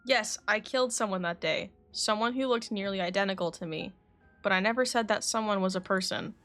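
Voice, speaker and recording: A woman reading the part of a calm male alchemist in a game, measured and explanatory. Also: medium pitch, faint music behind, lightly acted. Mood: calm, matter-of-fact.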